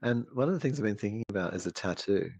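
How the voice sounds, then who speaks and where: A man's voice: fairly low pitch, lively intonation, fast talker, vocal fry, a little mellow, no room sound. A man co-hosting a casual, absurd chat show, rambling and restarting his sentences. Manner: rambling, hesitant, lots of restarts. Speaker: co-host. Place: home setup, streamed show.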